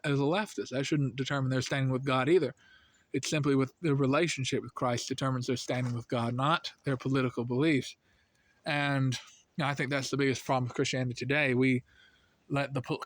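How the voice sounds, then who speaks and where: A man's voice: medium pitch, dry recording. An American man giving casual religious commentary, earnest and rambling, full of fillers, his voice lifting when he makes a point. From United States. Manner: earnest, rambling, heavy with "you know" and "I mean" fillers.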